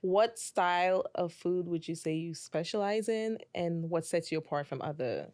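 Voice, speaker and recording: A woman's voice: fairly low pitch, moderate pace, a little mellow, no echo. A woman hosting a podcast, chatting casually with her guest and affirming her often. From a Caribbean island. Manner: chatty, frequent affirming interjections. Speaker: podcast host.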